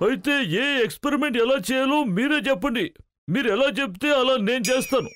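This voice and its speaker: Eccentric cartoon scientist, extremely high, quick-fire, snappy, self-important. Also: male voice, fairly bright tone, smooth. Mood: irritated, proud. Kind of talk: dubbed cartoon voice acting.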